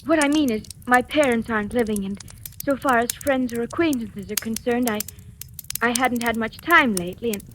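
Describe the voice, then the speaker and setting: Woman playing timid young woman, higher pitch, earnest, hesitant stammer, 1940s radio acting, faintly noisy recording. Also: moderate pace, fairly bright tone, natural voice. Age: 20s. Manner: hesitant, breathless stammering, eager. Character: earnest, timid young woman of twenty-five seeking work.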